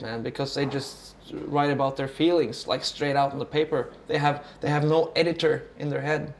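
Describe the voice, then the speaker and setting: Norwegian guy rambling thru an interview, croaky voice, swears a bit, some background noise. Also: mid-range voice, echoey room. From Norway. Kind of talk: casual rambling interview talk.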